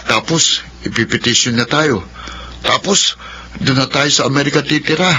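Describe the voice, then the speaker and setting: A man's voice: mid-range voice, moderate pace, bright, forceful tone, lightly acted. A man playing a dreamy, whining teenage son with a Filipino accent in a radio drama. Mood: dreamy, playful. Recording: muffled radio drama, faint background noise.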